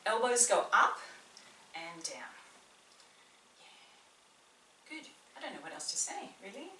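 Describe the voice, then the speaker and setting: A woman's voice: mid-range voice, big pitch swings, a little slow, sharp tone, a little hiss or hum. A woman personal trainer with a slight New Zealand accent, chattily coaching a beginner workout in a small indoor room. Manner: chatty, encouraging, talks a lot. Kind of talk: guided workout instruction. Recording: roomy echo, distant mic, faint ambience.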